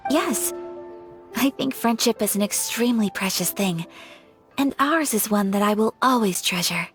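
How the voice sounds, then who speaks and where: A woman's voice: mid-range voice, normal speed, lightly acted. A young woman voicing a gracious, shy noblewoman in a video game, formal and polite in speech. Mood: earnest. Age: young adult. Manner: formal, polite, soft-spoken. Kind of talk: video game cutscene dialogue. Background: game music.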